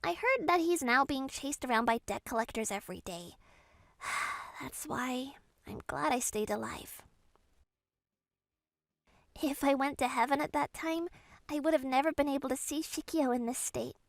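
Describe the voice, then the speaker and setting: A woman playing an emotional young woman in a manga dub, speaking in an expressive, heartfelt way. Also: high-pitched, normal speed, gravelly, dry recording. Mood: gleeful gossip. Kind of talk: manga dub character acting.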